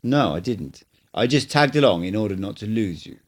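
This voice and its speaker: Guy reading as an old sorcerer guy, calm and cryptic, lowish voice, lecturing slow and steady. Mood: patient. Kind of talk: audiobook dialogue reading.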